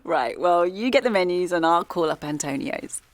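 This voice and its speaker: Actress as reserved, low-voiced English mum. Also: female voice, lively intonation, normal speed, dry recording, natural voice. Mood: softened. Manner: subdued, guarded. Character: reserved teacher, mother of a teenage son. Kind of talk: naturalistic audio drama acting.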